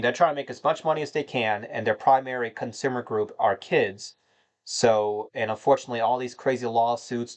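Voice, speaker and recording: A man in his thirties from North Carolina, casually chatting and answering viewer questions on a livestream, rambling with many fillers. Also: mid-range voice. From United States (North Carolina). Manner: rambling, filler-heavy, addresses chat as "man". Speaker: livestream host answering chat.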